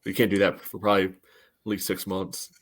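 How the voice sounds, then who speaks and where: Male podcast co-host and club historian, lower register, casual but considered, feels his way through each point. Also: level tone. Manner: halting, hedging, thoughtful. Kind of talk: casual sports podcast chat.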